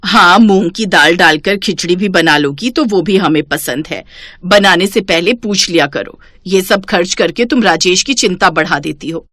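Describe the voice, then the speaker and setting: A woman's voice: on the low side, moderate pace, fairly bright tone, no room sound. A woman narrator voicing a fault-finding elderly mother-in-law who complains and scolds in a family story. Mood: nagging.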